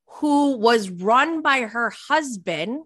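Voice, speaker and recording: A woman's voice: on the high side, animated, slow, fairly bright tone. A woman of about forty co-hosting a casual reality TV recap, gossipy and prone to rambling tangents. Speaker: podcast co-host.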